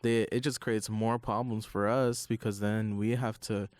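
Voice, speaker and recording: A man's voice: on the low side, flat delivery, moderate pace, no room sound. A young man co-hosting a casual college radio chat, telling stories in a laid-back way with chuckles. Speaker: podcast co-host.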